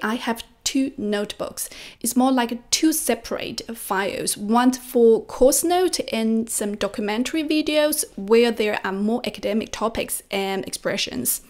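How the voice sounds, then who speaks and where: A young woman whose first language is Chinese hosts an instructional vlog. Her voice sits on the high side. She speaks to viewers into a close desk microphone in a room at home. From China. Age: young adult. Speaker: language-learning video host. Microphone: close desk microphone with pop filter.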